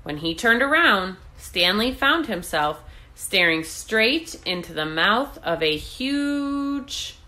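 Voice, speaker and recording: A woman narrates a picture book for children, expressive and deliberate. Her voice is bright, with lively rises and falls and an unhurried pace. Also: mid-range voice. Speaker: read-aloud host.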